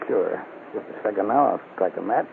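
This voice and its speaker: Gravelly, amiable night watchman. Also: lowish voice, lively intonation, fairly quick. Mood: amiable, obliging. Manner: easygoing, folksy. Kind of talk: old-time radio drama acting. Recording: tinny, noisy old radio recording.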